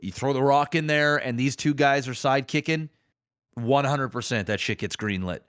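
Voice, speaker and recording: Casting: man, podcast host, medium pitch, opinionated and emphatic, slangy, sweary, casual delivery. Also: normal speed, a bit bright, clean tone, dry recording, clean studio sound.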